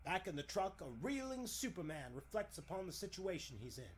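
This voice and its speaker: A man delivers scripted narration as a 1940s radio announcer would. His delivery is dramatic, with very animated pitch. There is faint noise in the recording. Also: a little fast.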